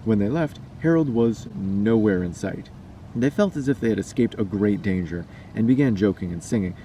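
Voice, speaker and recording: A man's voice: medium pitch, warm tone. A man reading a scary story aloud as a storyteller. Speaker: storyteller and vlogger. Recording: distant mic, noisy background.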